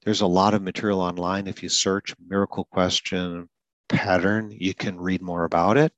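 A man who hosts a podcast for people who stutter, calmly talking through a goal-setting exercise with some small repetitions. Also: low-pitched, moderate pace, no echo, lo-fi sound. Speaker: podcast host and stuttering advocate. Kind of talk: solo podcast explanation.

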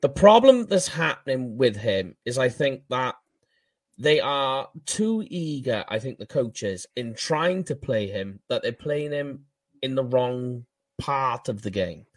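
A man's voice: medium pitch, takes it slowly, dry recording. Man, Welsh accent, dry close sound, chatty fan-podcast host. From Wales. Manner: animated, laughs at himself. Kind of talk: casual sports chat.

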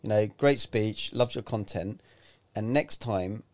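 A chatty man in his forties, born in Greece, talks about coaching public speaking in an easy podcast conversation. His voice sits fairly low, and he walks through his ideas with worked examples. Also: unhurried, darkish tone. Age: 40s. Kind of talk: casual podcast chat.

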